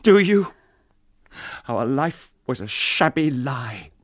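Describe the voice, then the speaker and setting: An actor playing a tormented English gentleman in a radio drama, speaking with intense, bitter, clipped delivery. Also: mid-range voice, sing-song, slow, no room sound. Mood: bitter, anguished. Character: tormented English gentleman husband confessing to killing his wife.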